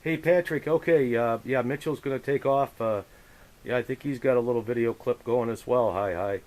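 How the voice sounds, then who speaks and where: A man operating a radio and hosting a contact, chatting casually and chummily in a halting, hesitant way. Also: mid-range voice, a little mellow. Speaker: amateur radio operator running the frequency. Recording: hissy, noisy radio recording. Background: radio static hiss.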